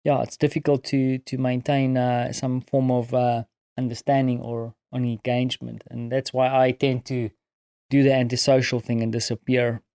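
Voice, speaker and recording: A tired man with a South African accent and Afrikaans as his first language, talking in a casual, reflective, halting solo audio diary. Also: medium pitch, level tone, dark tone, smooth, no echo, studio quality. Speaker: podcast host recounting his day.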